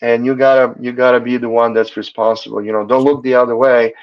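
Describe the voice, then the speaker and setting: A man's voice: mid-range voice, little pitch movement, quick pace. A man from Serbia, a guest on a health talk show, talking earnestly and rambling with many fillers. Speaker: chiropractor guest. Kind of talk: casual talk show chat. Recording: muffled lo-fi audio.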